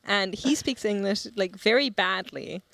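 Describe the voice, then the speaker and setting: A woman's voice: mid-range voice, unhurried, creaky, a bit bright, no echo, rough recording. A woman whose first language is German, chatting cheerfully and agreeably on a game stream. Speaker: stream co-caster. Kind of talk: casual chat.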